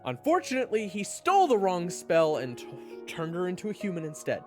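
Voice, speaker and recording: A man's voice: sits high, huge pitch swings, brisk, a little mellow, echoey room, long reverb tail, faint music behind. A man playing a nervous, sardonic young adventurer who narrates his own fantasy story with dramatic, wry delivery. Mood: nervous, pleading. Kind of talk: first-person fantasy story narration and acting.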